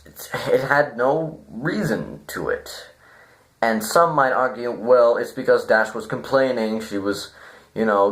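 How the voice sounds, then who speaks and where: A man giving an informal, rambling critique to viewers, deadpan and self-deprecating, halting as he thinks aloud. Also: on the low side. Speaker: video reviewer.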